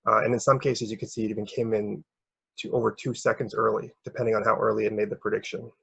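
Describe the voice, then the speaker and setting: A man presenting academic research as a researcher, explaining step by step in a hesitant, halting way with a gravelly, husky voice. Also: on the low side, level tone, fairly bright tone, no room sound.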